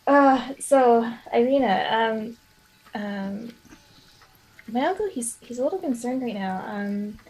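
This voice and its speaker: A woman improvises casually as a player in a tabletop roleplaying game. Her voice is on the high side and noticeably husky. She speaks haltingly, often restarting as she works out what to say. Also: lively intonation, darkish tone, low-quality recording.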